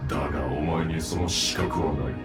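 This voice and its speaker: An actor playing an arrogant, cold man with grand menace in an animated game short, imperious and deliberate. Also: very deep voice. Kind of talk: dramatic Japanese anime game trailer acting. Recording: echoing, distant, guitar music behind.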